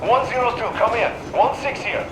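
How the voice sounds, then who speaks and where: A man's voice: extremely high pitch, normal speed. A man playing a dutiful, matter-of-fact soldier speaking over a field radio in a video game. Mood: crisp, dutiful. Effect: radio transmission. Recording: noisy, echoey field radio.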